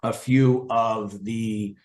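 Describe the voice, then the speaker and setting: A man's voice: lowish voice, steady tone, drawn-out words, echoey room. A man presenting to a committee on a video call, talking casually and rambling with many ums and wry asides. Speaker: committee member presenting a supplier.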